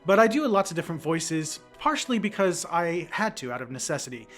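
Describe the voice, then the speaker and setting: A man's voice: fairly high pitch, very animated, a little fast, fairly bright tone, faint music behind. A man from Oklahoma with a clipped mid-Atlantic American accent, casually explaining as a podcast cast member. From Oklahoma, USA. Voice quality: clipped enunciation.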